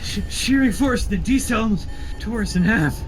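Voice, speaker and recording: An actor playing a starship captain's gravely wounded son, gasping in pain in an audio drama. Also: male voice, sits high, animated, moderate pace, slight background noise, low-quality recording. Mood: panicked, urgent.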